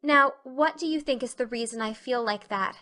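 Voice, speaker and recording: Talkative earnest teen girl, adult actress, bright and forceful, dramatic and full of feeling, for storybook drama. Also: on the high side, moderate pace. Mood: earnest confession. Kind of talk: dramatic reading of a classic novel.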